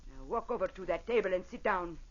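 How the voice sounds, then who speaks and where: An actress playing a vengeful Italian opera singer and wronged mother in a radio drama, with an Italian accent and theatrical, deliberate delivery. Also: lowish voice, expressive. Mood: menacing, commanding. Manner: theatrical, deliberate, formal phrasing. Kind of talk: old-time radio drama acting. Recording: dull vintage radio recording.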